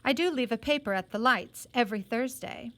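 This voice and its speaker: Woman voicing a hot-headed, high-pitched teenage boy. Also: a little fast, a bit bright, close to an everyday voice. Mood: awkward, hopeful. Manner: blurting, emphatic, scornful.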